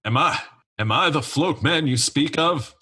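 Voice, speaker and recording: An actor playing a no-nonsense, wounded detective in a noir radio comedy, earnest and deadpan in his melodrama. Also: on the high side, a little slow, breathy edge, exaggerated voice. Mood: shocked, angry. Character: no-nonsense, wounded, half-float detective, male, hardboiled. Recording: music playing behind the voice. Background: music.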